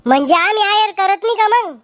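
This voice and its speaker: A man voicing a cheeky, quarrelsome village cartoon character with a Varhadi Marathi accent and a pitched-up voice. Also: lively intonation, fairly quick, a bit bright, dry recording, studio quality. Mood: scolding. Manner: brash, sarcastic, bickering.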